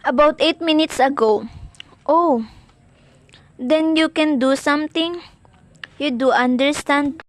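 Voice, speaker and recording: A woman's voice: high-pitched, takes it slowly, long pauses, dry recording. A woman playing an anxious, imperious married lady on the telephone in an amateur radio play, insistent, fretful and easily exasperated. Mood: agitated, pleading. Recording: noisy amateur recording.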